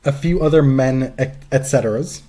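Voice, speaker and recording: Male podcast co-host, soft-edged mellow tone, emphatic and salty-tongued, casual opinionated sports talk. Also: medium pitch, normal speed. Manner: emphatic, frequent profanity.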